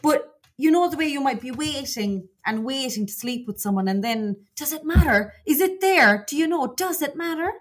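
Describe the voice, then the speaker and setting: High-voiced Cork Irish woman chatting. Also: animated, moderate pace, few pauses. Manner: chatty, colloquial, confiding. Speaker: podcast co-host. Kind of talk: casual gossipy chat.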